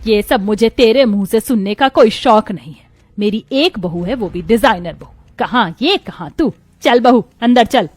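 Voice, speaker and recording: A woman's voice: on the high side, expressive, moderate pace, a little mellow, no room sound. A woman voicing a snobbish, rich middle-aged mother-in-law in a cartoon family drama. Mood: scornful. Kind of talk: animated family drama character voice.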